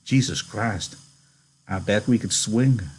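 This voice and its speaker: A man's voice: lowish voice, normal speed, a little hiss or hum, close to an everyday voice. Man reading as plainspoken rustic ranch worker. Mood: thoughtful. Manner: folksy, rough rustic phrasing. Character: guarded, dreamy, practical male Depression-era ranch worker. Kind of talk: audiobook-style character reading.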